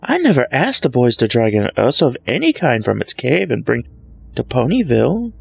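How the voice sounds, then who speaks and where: A man's voice: huge pitch swings, fairly quick, creaky, slightly rough, full character voice. A man voicing a boastful, vengeful female unicorn magician with a haughty, theatrical, taunting manner, in a story reading. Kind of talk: fan fiction story reading. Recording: low-grade mic with music bed.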